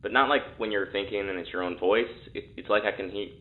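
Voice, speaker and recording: Guy acting nervy test subject, lowish halting voice, tinny phone-like sound. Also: natural voice. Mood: uneasy, overwhelmed. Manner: halting, casual, repeating words. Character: uneasy, hesitant male test subject. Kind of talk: audio drama acting. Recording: thin, phone-like intercom sound.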